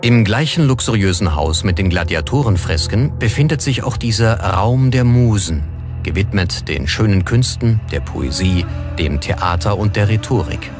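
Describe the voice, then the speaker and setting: A man with a fairly low voice narrates a documentary from a script. Music plays clearly behind him as he reads. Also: expressive, moderate pace. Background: background music.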